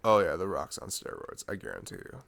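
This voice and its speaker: Male, lowish gravelly voice, rambling intimate ASMR boyfriend chat, slangy and jokey. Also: sing-song, fairly quick, fairly bright tone. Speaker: ASMR boyfriend roleplay performer.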